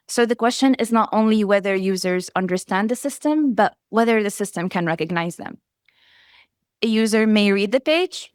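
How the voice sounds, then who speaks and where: A woman whose first language is Arabic, giving a prepared conference presentation in a measured way, reading lists item by item. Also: mid-range voice, clear, resonant tone, no echo, studio quality. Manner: measured, enumerating lists steadily. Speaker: researcher presenting.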